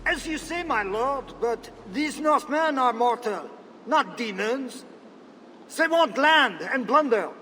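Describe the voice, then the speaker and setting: A man's voice: extremely high pitch, animated, slow, sharp tone, a little hiss or hum, full character voice. A man acting a troubled, plain-spoken Frankish man in a video game, with a strained, urgent manner. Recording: reverberant space, faint ambience.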